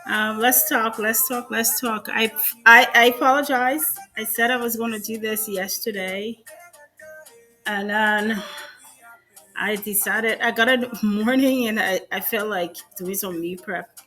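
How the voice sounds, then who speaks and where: A woman's voice: fairly high pitch, fairly even intonation, measured pace, pushed and bright, faint music behind. A woman from Liberia with a Liberian accent, hosting an informal educational presentation in a conversational way, often repeating and restarting phrases. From Liberia, West Africa. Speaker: host of an educational online show.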